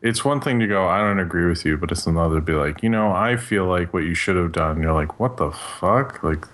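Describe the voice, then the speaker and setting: A man's voice: deep voice, brisk, fairly bright tone. A man chatting casually as a podcast co-host on a slightly noisy mic with clicks.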